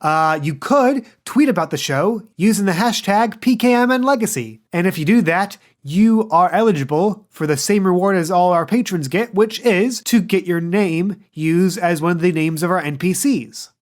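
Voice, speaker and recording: A man's voice: high voice, lively intonation, normal speed, few pauses. A man hosting a tabletop roleplay podcast as game master, reading plugs and narrating in a laid-back, joking way.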